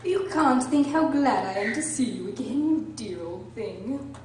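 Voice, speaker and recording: Chummy, preachy storybook Duchess; high, affected, theatrically gushing radio acting, distant and noisy recording. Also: female voice, animated, measured pace, darkish tone. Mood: fond, preachy. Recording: echoey room, distant mic, clear background noise.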